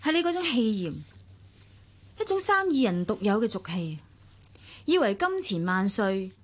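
A woman's voice: mid-range voice, very animated, moderate pace. A woman with a Hong Kong accent acting a weary, proud young wife in a radio drama, with a cutting, sardonic manner. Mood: cool, cutting. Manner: cutting, sarcastic. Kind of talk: Cantonese radio drama acting, monologue and dialogue.

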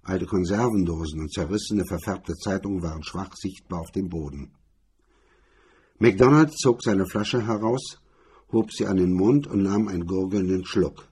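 A man narrates a crime story from a script. His voice is dark and mellow, with a heavy husky rasp. It sits fairly low. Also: normal speed.

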